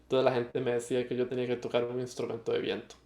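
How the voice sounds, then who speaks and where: A young man with a Costa Rican accent recounts his story as a podcast guest. He speaks at a mid pitch, reflective and halting, with many fillers and restarts. The recording sounds echoey. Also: completely flat delivery, gravelly, a little mellow. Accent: Costa Rican Spanish. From Costa Rica. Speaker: guest musician. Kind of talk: interview storytelling.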